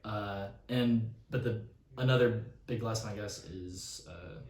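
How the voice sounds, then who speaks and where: 21 yr old guy, kinda low voice, slow and unsure, lots of uh, echoey room. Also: a little mellow. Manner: hesitant, many uh and restarts. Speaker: interviewee. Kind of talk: casual interview answers. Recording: roomy, distant mic, faint noise.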